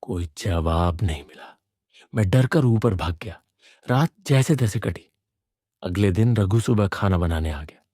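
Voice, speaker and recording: Male narrator for horror fiction: measured first-person suspense, low register, dark tone. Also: lively intonation, no echo, studio quality.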